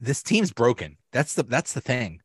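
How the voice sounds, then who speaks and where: A man hosting a sports podcast in casual chat, reacting with drawn-out exclamations. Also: medium pitch, slightly rough, no echo, studio quality. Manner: slow, lively intonation, reacts with drawn-out exclamations. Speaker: podcast host.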